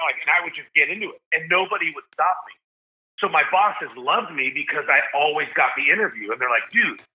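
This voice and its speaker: Chatty man on a tinny line. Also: medium pitch. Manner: energetic, chatty, says "dude" and "like" often. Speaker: podcast guest, sports announcer. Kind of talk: casual storytelling interview. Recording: narrow phone-like sound.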